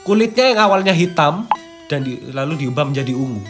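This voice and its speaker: A man's voice: a little high, animated, a little fast. A young man with an East Javanese accent hosts casually, mixing read-out script with informal, slangy asides. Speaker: host. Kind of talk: casual chat mixed with reading from a script. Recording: music playing behind the voice. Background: background music.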